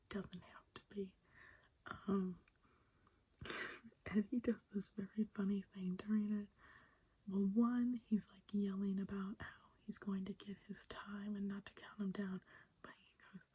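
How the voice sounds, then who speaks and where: Brief: young woman, soft ASMR whisper, very breathy, mellow tone, chatty and eager. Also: medium pitch. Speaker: wrestling recap host. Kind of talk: ASMR whispered show recap.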